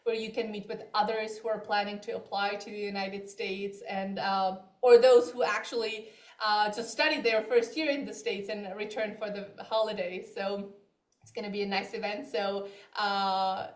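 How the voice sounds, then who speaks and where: A woman's voice: medium pitch, echoey room. A woman education advisor hosting a livestream, talking in a friendly, casual way with fillers. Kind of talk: livestream presentation. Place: Moravia, Czech Republic.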